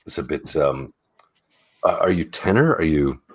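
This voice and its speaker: A married man co-hosting a late-night stream, chatting casually in a deep bass voice with a joking, teasing manner. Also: sing-song, moderate pace, husky. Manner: joking, teasing, laid-back. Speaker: stream co-host.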